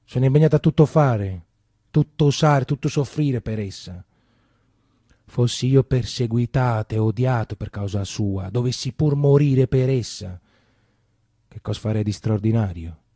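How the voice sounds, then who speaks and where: A man's voice: medium pitch, mellow, dry recording, studio quality, natural voice. Man playing a solemn, resolute idealist teacher. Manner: solemn, measured declamation. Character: proud, resolute antifascist teacher declaring devotion to freedom. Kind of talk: stage monologue character acting.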